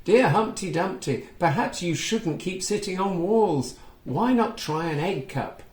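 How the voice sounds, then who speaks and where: In an echoing room, a man reads as Mother Goose, a wise old storybook woman with helpful answers. The delivery is slow, emphatic and instructive, pitched a little high. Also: animated. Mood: helpful advice.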